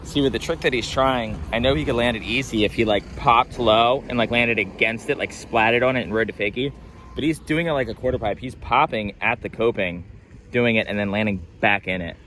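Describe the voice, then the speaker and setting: A man's voice: medium pitch, fairly quick, fairly bright tone, a little hiss or hum. A man vlogging a skate session outdoors, rambling in a casual, self-mocking way and swearing freely. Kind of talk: casual commentary. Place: outdoors at a street skate spot.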